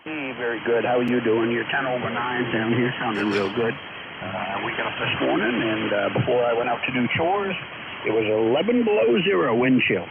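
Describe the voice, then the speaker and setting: A man's voice: medium pitch, normal speed, creaky, loud music behind the voice. A man in his sixties chatting casually over amateur radio in an easygoing, matter-of-fact way. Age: 60s. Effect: radio transmission. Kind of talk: casual ham radio chat. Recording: noisy narrowband ham radio signal.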